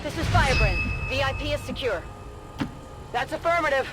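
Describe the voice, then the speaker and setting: A woman's voice: high voice, lively intonation, a little fast. A woman playing a businesslike military transport pilot in a video game, speaking in clipped, procedural phrases. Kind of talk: scripted game radio chatter. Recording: noisy, with vehicle sounds.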